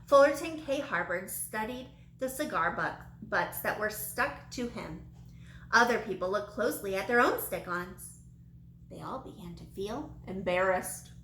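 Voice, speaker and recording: A woman's voice: medium pitch, animated, measured pace, a bit bright. A woman reading a children's story aloud, as a teacher would to young listeners. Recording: echoey room with light background noise.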